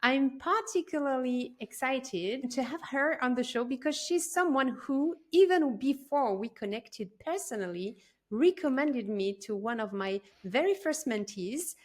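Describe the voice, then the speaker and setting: Woman host, interview podcast, measured and slow. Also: sits high, lively intonation.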